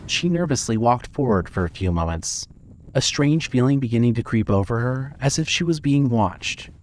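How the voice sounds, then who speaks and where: A man's voice: mid-range voice, expressive, normal speed, a little mellow. A man narrating a scripted dramatic story for a fiction podcast.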